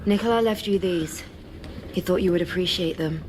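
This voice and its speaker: A woman playing a composed, resolute rebel leader in a Victorian game drama, with formal, measured and earnest phrasing. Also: on the low side, a little mellow, close to an everyday voice. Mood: calm, guiding. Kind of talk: dramatic video game cutscene acting. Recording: noisy game ambience behind the voice.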